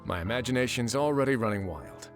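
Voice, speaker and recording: A man voicing a wry, relaxed fortress warden in a video game, with a dry, teasing manner. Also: medium pitch, quick pace, music in the background, natural voice. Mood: amused, teasing.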